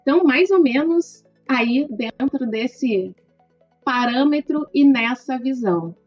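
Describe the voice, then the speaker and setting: A middle-aged woman with a gaúcho accent answers an interview question in a measured, explanatory way, tagging her sentences with "né". Her voice sits a little high and the sound is dry and close. The recording is slightly dull, with faint music in the background. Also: animated, no echo. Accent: gaúcho Brazilian Portuguese. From southern Brazil (Rio Grande do Sul). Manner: measured, lists points with frequent "né". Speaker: lawyer guest.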